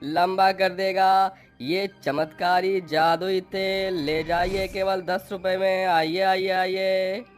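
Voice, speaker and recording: A man playing a street hawker in a cartoon story, calling out his wares in drawn-out, sing-song cries. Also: sits high, level tone, soft background music. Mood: hawking, insistent. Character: male street hawker selling miracle hair oil and shampoo. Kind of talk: cartoon moral story acting.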